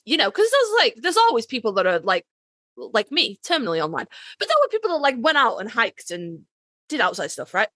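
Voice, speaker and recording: A woman's voice: on the high side, huge pitch swings, brisk, bright, forceful tone, no echo, clean studio sound. A woman chatting casually as a podcast host, emphatic and swearing freely. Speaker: author.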